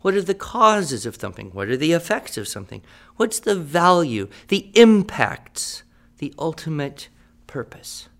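A man's voice: fairly high pitch, big pitch swings, a little slow, gravelly, full character voice. A man playing a patient writing teacher in a radio drama, explaining step by step and checking that students follow. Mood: encouraging. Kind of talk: improvised-sounding classroom teaching in a radio drama.

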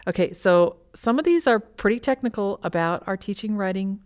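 A woman's voice: mid-range voice, expressive, normal speed. A woman hosting a podcast, speaking articulately in conversation and putting questions to her guest. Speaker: podcast host and interviewer. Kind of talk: hosted podcast conversation, reading listener questions.

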